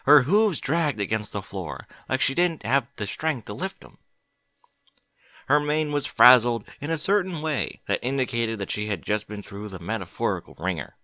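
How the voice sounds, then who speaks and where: A man narrating a fan fiction story in an expressive, animated reading. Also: mid-range voice, no echo. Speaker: audiobook reader.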